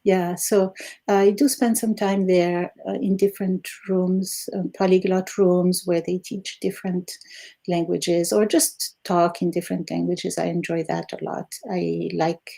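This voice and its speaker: A woman in her sixties from Algeria, a native Arabic speaker, talks through her answers with gravel in her voice and a mellow, darker tone. She laughs often and fills pauses with ums and uhs. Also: on the low side, flat delivery. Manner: warm, laughs often, fillers. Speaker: guest, retired Arabic teacher. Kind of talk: casual interview answers.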